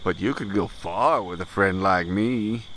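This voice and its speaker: A man's voice: low-pitched, fairly bright tone, slight background noise, poor audio. An actor playing a cruel, menacing racist predator in an audio drama, drawling and mocking as he threatens. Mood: creepy false friendliness. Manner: taunting, drawling, slow menace. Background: crickets and night insects.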